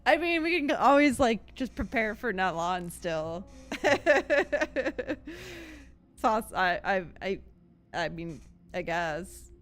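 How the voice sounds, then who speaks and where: A woman's voice: medium pitch, sing-song, slow pace, fairly bright tone. A woman live-streaming a game, chatting casually with viewers in a giggly, reactive manner. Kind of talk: casual live gameplay chat. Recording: game music behind the voice. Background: video game music.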